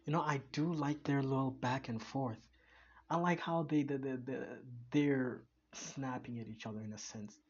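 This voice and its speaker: Musing mid-pitched man reacting. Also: normal speed, lo-fi sound, a little hiss or hum. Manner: thinking aloud, repeats and restarts. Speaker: reaction video host. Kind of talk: casual commentary.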